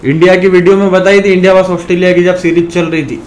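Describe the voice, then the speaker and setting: A man's voice: sits high, racing, heavy background noise. A man from India with a sore throat, talking casually to his viewers, calling them brothers and ranting now and then. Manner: chatty, addresses viewers as brothers, animated rants. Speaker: fantasy cricket YouTuber.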